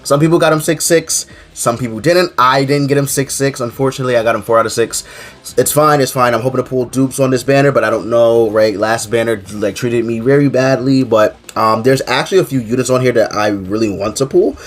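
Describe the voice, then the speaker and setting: A man's voice: mid-range voice, a little fast. A young man with a stuffy nose from a cold, chatting casually about a mobile game in a rambling, filler-heavy way. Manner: rambling, filler-heavy, keeps saying "to be honest". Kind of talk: casual game commentary to camera.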